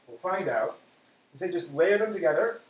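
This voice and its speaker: A man's voice: sits high, lively intonation, moderate pace, slight background noise, low-quality recording. A man hosting a live stream, talking casually to viewers in a rambling, explanatory way. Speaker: host of an anime live stream. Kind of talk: casual review and demonstration to viewers.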